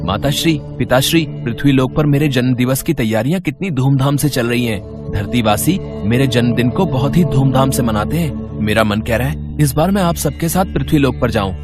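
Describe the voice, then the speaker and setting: A man playing an eager, respectful boy god in an animated devotional story. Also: mid-range voice, a little fast, music in the background, lightly acted.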